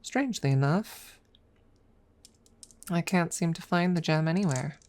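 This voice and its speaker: A woman voicing a haughty, centuries-old dragon rival who is secretly smitten, speaking haltingly in a close-miked ASMR roleplay. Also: lowish voice, darkish tone, lightly acted. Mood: haughty, accusing. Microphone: close microphone.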